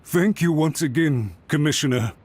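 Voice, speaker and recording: An actor playing a cowed, deferential servant in a video game, with a hesitant, submissive and halting delivery. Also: male voice, fairly high pitch, a little mellow, a little hiss or hum, lightly acted. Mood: nervous, deferential. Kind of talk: scripted video game voice acting.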